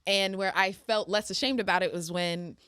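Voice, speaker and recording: A woman's voice: mid-range voice, steady tone, normal speed, a bit bright, clean tone, no room sound, clean studio sound. A woman in her twenties, a singer speaking as a guest in a casual interview, earnest and reflective. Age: 20s.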